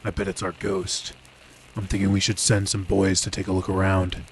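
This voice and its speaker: An actor playing a gruff, weary, hard-drinking police detective in an old time radio drama. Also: male voice, deep voice, completely flat delivery, moderate pace, slight background noise.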